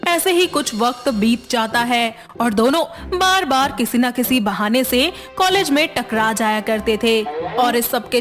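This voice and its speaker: A woman delivers scripted narration for a children's moral story. Her voice is fairly high. Faint music plays beneath the narration. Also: fairly bright tone.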